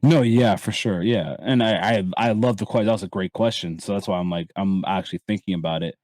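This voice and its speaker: A man's voice: lowish voice, a little fast, darkish tone, no echo. A man in his early twenties from Barbados, a guest chatting casually, rambling with restarts and casual swearing. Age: early 20s. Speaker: guest content creator. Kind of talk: casual podcast chat.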